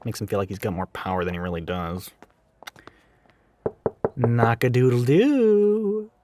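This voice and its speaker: A man performs a flamboyant, mischievous shop coworker in an audio drama. The delivery is catty and theatrical, full of sassy teasing aimed at a sibling. The pitch sits in an ordinary male middle range. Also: animated, a little slow, background noise, close to an everyday voice. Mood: exasperated, gossipy. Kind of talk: acted audio roleplay.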